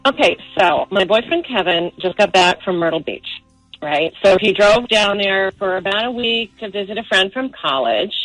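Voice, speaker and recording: A woman's voice: medium pitch, expressive, normal speed, a bit bright, no room sound, faint music behind, rough recording. A woman calling in to a radio show, telling a personal story in a chatty, informal way full of filler "like". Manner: informal, peppers talk with "like".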